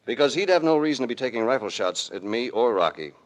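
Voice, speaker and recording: An actor playing a cool, commanding investigator in an old radio mystery, with terse and authoritative delivery. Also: male voice, mid-range voice, lively intonation, fast talker, lightly acted. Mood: brisk, thoughtful. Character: cool, commanding male investigator, hard-boiled and shrewd. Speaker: lead actor and narrator. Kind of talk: 1940s-50s radio detective acting. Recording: vintage radio drama recording.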